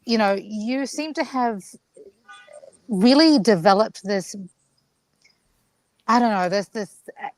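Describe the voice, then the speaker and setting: A woman from New Zealand, a keynote speaker, telling stories in an animated, expressive way as she asks a question. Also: mid-range voice, takes it slowly, long pauses, dry recording, low-quality recording. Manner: animated, expressive storyteller, many restarts. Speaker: guest co-host, keynote speaker. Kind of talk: conversational interview.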